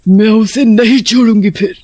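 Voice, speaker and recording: A woman voicing a vengeful young witch ghost who threatens and taunts in an animated horror story. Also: on the high side, normal speed, no room sound, pitched above the performer's own voice, close to an everyday voice. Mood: angry, vengeful.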